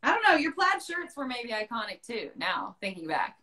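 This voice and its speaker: Bubbly young woman chatting on a phone livestream, voice bounces all over, kinda high. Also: very animated, moderate pace, pushed and bright. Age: young adult. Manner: bubbly, laughs often. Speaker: livestream co-host. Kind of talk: casual chat on a video call. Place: bright room indoors. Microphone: phone at arm's length.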